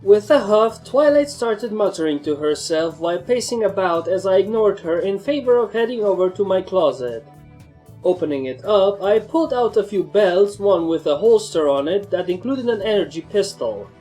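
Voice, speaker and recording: A woman's voice: medium pitch, lively intonation, moderate pace, dark tone, soft background music. A woman reading first-person fan fiction as a scripted story narrator.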